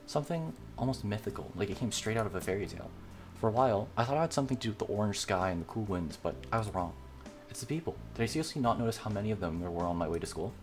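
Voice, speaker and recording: A man's voice: fairly low pitch, expressive, racing, faint music behind. A man reading a visual novel aloud as a gamer, narrating the script. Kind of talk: read-aloud narration of a game script with light character voicing.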